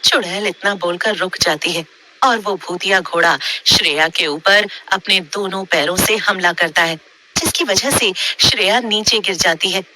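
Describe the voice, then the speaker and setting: A woman storyteller reads a scripted horror tale. Her voice sits at a middle pitch with a very bright, intense edge. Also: normal speed, slight background noise.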